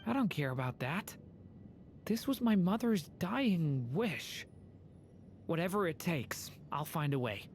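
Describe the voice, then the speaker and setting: Earnest young dragon child, soft, hesitant, determined, lowish voice, varied pitch. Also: female voice, big pitch swings, a little slow, soft background music. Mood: resolute. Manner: hesitant and soft, turning firmly resolute.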